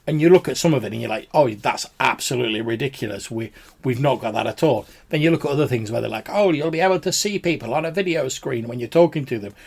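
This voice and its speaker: A man's voice: medium pitch, quick pace, creaky, breathy edge. A man hosting a tabletop gaming podcast, answering listener voicemails in a rambling, casual way full of "sort of" and "like". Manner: rambling, many fillers like "sort of". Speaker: podcast host.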